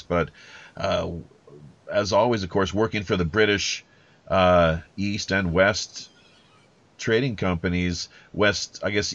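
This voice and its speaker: A man's voice: low-pitched, fairly bright tone. A man hosting a casual interview podcast, talking in a wordy, rambling, roundabout way on a lo-fi connection. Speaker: podcast host. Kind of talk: casual interview chat with pirate-themed banter.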